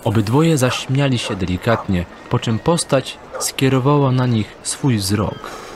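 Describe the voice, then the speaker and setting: A man narrating an audio drama in a measured, deliberate storytelling manner. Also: mid-range voice, darkish tone, a little hiss or hum.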